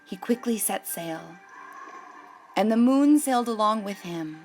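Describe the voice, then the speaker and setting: A woman's voice: mid-range voice, expressive, a little slow, darkish tone, soft background music. A woman hosting a children's storytime, reading a picture book aloud expressively and addressing young listeners.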